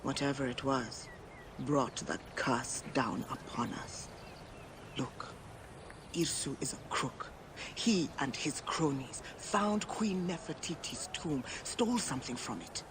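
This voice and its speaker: An actress playing a brash, suspicious gambler and historian in a video game, with a sardonic, salty way of talking. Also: female voice, extremely low pitch, slow, noisy background. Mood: wary, grudging. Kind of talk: dramatic video game acting. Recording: music behind the voice.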